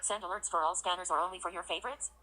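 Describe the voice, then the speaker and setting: Synthetic female screen reader, lowish pitch. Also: racing. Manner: clipped, flat announcements of menus and buttons. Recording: clearly noisy, with clicks and tapping.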